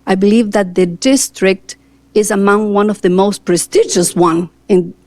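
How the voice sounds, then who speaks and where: A woman's voice: medium pitch, moderate pace, a little mellow. A talkative, earnest woman from Argentina with an Argentine Spanish accent, answering a school board's interview questions. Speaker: board seat candidate.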